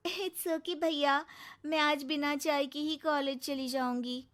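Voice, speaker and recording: A woman's voice: high voice, fairly even intonation, takes it slowly, fairly bright tone, pitched above the performer's own voice. A woman voicing a sweet, polite young female student lodger in a cartoon story. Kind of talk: cartoon moral story acting.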